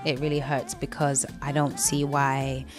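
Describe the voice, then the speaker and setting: A young woman from London with a London accent, a singer telling stories in a casual interview, chatty and quick to laugh. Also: a bass voice, level tone, music in the background. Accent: London English. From London, England. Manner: chatty storyteller, quick to laugh, talks through restarts.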